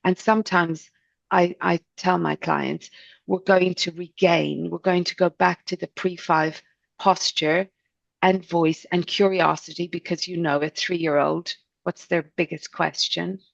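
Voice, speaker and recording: A middle-aged voice coach from Ireland with a mixed Irish and South African accent, talking in a gentle, chatty, expressive way. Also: female voice, on the low side, a little slow, gravelly, no echo, lo-fi sound. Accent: Irish and South African English mix. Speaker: voice and speech coach. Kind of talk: podcast interview answer.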